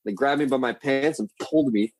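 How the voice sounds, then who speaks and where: A man chatting as a podcast guest, telling stories in a loose, profane, rambling way. His voice sits at an ordinary middle pitch, and he gets animated as he goes. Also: brisk, slightly rough. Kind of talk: casual storytelling chat.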